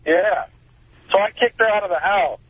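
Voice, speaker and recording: A man in his forties calling a radio show and telling a story casually, in a halting way. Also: high voice, a little hiss or hum. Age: 40s. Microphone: telephone. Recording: narrow dry phone line.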